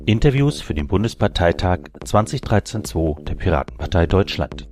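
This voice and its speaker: A man's voice: sits low, very animated, moderate pace, over music. A man speaking as a podcast interviewer, giving prepared introductions and questions.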